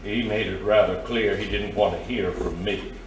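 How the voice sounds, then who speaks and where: A man acting a fat old crook of a radio play, theatrical and wheedling, always a little afraid. His voice is fairly low, heard in a roomy space with faint noise. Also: fairly even intonation, normal speed, recorded from a distance, natural voice. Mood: wary, sly. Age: older. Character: old, fat, shifty, frightened underworld schemer. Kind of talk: audio drama acting.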